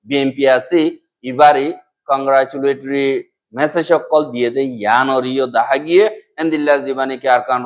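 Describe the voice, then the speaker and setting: A man presents a scripted news bulletin. He speaks with a Rohingya accent. His voice sits a little higher than is usual for a man.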